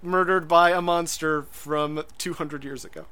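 A man's voice: a little high, steady tone, normal speed, pushed and bright, smooth. A man co-hosting a podcast in casual chat, rambling and hesitant, full of fillers and restarts. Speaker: podcast co-host.

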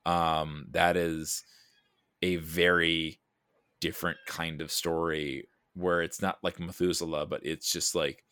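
A man with a deep, low-pitched voice talks as a guest on a casual podcast. He rambles through his thoughts with animated emphasis and frequent asides. The sound is close and dry. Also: slow pace, smooth, no room sound.

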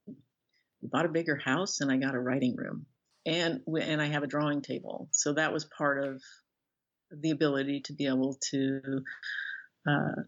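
A middle-aged American woman being interviewed as an author, speaking thoughtfully and haltingly as she searches for words. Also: a bass voice, dull audio. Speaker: interview guest, author and professor. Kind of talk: reflective interview conversation.

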